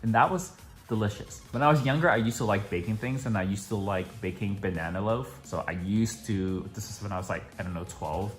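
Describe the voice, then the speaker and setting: A man's voice: fairly low pitch, brisk, soft background music. A young man chatting casually to camera at home in a daily vlog, full of "like" fillers. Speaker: vlogger.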